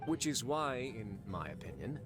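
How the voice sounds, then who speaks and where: An actor playing a composed male warden of a fortress, speaking in a calm, formal, measured way. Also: mid-range voice, close to an everyday voice. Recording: game audio with clear music. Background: game music.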